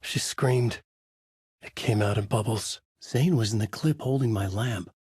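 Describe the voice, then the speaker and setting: An actor playing a brooding, haunted male writer who gravely narrates his own horror story in a husky voice for a video game. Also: on the low side, a little slow, a little mellow, no echo, clean studio sound. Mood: brooding, ominous.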